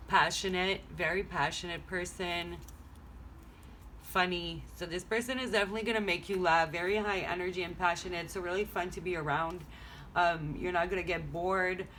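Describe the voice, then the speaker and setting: A woman's voice: lowish voice, fairly even intonation, moderate pace, a bit bright, a little hiss or hum. A woman whose first language is French, chatting casually as she reads tarot cards at home, rambling and thinking aloud. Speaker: tarot reader. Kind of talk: casual card-reading vlog. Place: home room.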